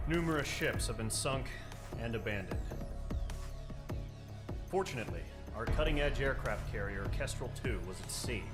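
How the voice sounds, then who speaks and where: An actor playing a formal, resolute military commander delivering a measured mission briefing in a video game. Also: male voice, medium pitch, close to an everyday voice. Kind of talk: scripted military briefing narration. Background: background music.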